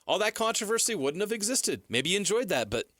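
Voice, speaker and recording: High-pitched, animated American sports talker. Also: male voice, a little fast, no echo, studio quality. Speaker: sports radio co-host. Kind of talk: casual opinionated sports talk.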